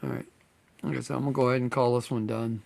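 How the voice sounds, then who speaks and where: A man, hosting his model-building channel in a relaxed, rambling way, slightly hoarse as he is losing his voice. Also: mid-range voice, racing. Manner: relaxed, rambling, many fillers. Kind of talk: casual chat to viewers.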